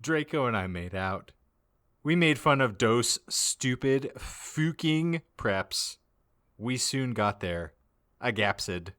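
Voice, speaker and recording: A man's voice: mid-range voice, lively intonation, slow pace, a bit bright. A man narrating comic fan fiction as a podcast host, dramatically reading out garbled misspellings as written.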